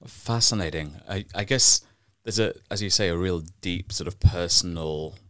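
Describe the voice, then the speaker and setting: A man's voice: low voice, normal speed, fairly bright tone, dry recording, studio quality. A man hosting a podcast, asking his guest questions in a keen, engaged way. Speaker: podcast host. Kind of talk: interview questions.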